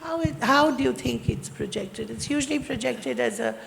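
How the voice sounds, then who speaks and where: Very creaky woman moderating in a hall. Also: sits high, moderate pace. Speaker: panel moderator. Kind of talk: interviewing on stage with short questions. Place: large indoor hall at a summit. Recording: reverberant hall with faint background noise.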